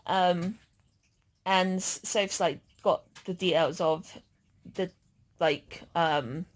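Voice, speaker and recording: A woman's voice: lowish voice, fairly even intonation, very slow. A woman vlogger chatting casually as she recounts an outing.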